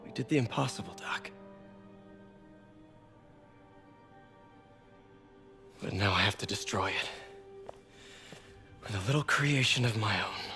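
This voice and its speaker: A man's voice: lowish voice, normal speed, bright, forceful tone, faint music behind. A man playing a young, earnest, casual-talking superhero in a video game. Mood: subdued, regretful.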